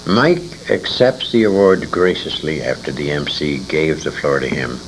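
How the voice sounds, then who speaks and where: Very deep-voiced, gravelly older man. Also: sing-song, normal speed. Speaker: interviewee, radio veteran. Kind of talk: reminiscing interview storytelling. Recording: noisy amateur 1980s convention interview recording.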